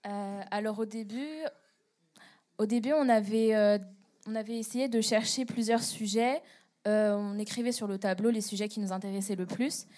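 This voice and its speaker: Mellow teenage girl, hesitant guest. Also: medium pitch, fairly even intonation, moderate pace. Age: teens. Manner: hesitant, searching for words. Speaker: student guest. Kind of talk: radio interview answer.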